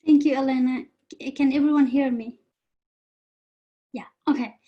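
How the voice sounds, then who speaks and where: A woman from Nepal, presenting earnestly and haltingly over a video call. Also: high voice, fairly even intonation, dull audio. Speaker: volunteer presenter. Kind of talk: informal online presentation.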